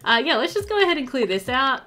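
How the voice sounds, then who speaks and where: A woman's voice: high-pitched, very animated, fast talker, sharp tone. A woman in her thirties giving casual, chatty let's-play commentary, easygoing and thinking aloud as she plays. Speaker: gaming video host.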